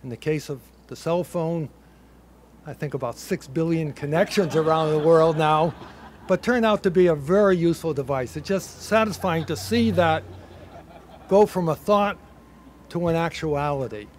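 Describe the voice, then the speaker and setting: An older man with a Boston accent giving a measured, reflective ceremony speech from a podium, with a light touch of humor. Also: a little high, a little mellow, slight background noise. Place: ceremony in a building under construction.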